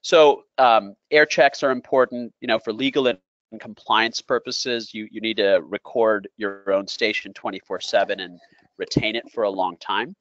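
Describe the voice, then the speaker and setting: A man giving an unscripted sales presentation as a company presenter, explaining in a halting way and repeating phrases. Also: lowish voice, fairly even intonation, fairly bright tone. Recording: dull, lo-fi audio in an echoey room.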